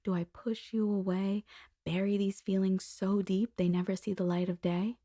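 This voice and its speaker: A woman playing a conflicted boss confessing forbidden desire in an intimate, breathy, whispered ASMR roleplay monologue. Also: medium pitch, level tone, warm tone, dry recording, studio quality, lightly acted. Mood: yearning, tempted. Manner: slow, intimate, halting delivery.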